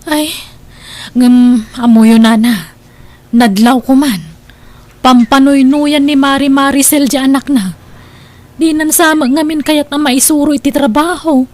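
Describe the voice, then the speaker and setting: A woman plays a young daughter in an audio drama, with a high, girlish voice. She is inquisitive and wheedling. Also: fairly even intonation, measured pace, long pauses, a little mellow. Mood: gossipy.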